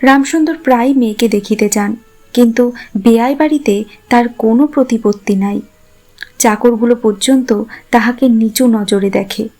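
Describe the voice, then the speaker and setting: A woman's voice: fairly high pitch, moderate pace, warm tone, soft background music. A woman reading a scripted literary story as its narrator. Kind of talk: scripted literary narration in formal Bengali prose.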